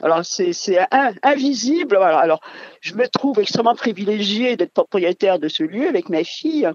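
Older woman, halting and rambling. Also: mid-range voice, animated, a little mellow, no echo, muffled recording. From France. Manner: halting, rambling, many fillers. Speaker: mill owner, radio guest. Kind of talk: radio interview.

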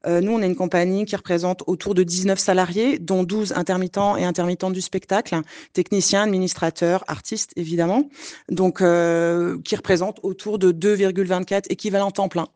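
A woman's voice: on the low side, steady tone, a little fast, mellow, dry recording. A woman from Le Mans, a choreographer and company director, speaking earnestly in a debate with many hesitations. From Le Mans, France. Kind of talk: debate testimony.

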